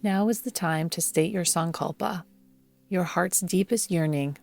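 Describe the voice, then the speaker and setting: A woman guiding a yoga nidra meditation in a soothing, measured manner, leaving long pauses between phrases. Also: lowish voice, gravelly, a little mellow. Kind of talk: guided meditation narration. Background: background music.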